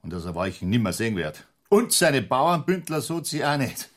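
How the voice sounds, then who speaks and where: An actor playing a brusque, sarcastic police superior with a Bavarian accent in a German radio crime drama. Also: male voice, medium pitch, sing-song, normal speed. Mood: dismissive, commanding. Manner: brusque, condescending, commanding.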